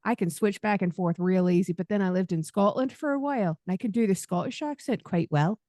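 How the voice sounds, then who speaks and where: A woman's voice: mid-range voice, fairly quick, dark tone, no echo. A woman hosts a podcast in a chatty, easygoing way and laughs freely. The sound is close and dry, with no room around her. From Southern United States. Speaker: podcast host. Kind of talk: podcast interview, friendly chat.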